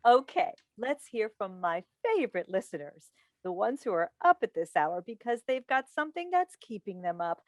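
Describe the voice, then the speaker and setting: A woman's voice: mid-range voice, very animated, normal speed, no echo, lightly acted. A woman in a staged play reading, playing a chatty, coaxing radio call-in host and doting, meddling mother with an emphatic theatrical delivery. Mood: chatty, confiding. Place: indoors on a video call. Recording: recorded over video call.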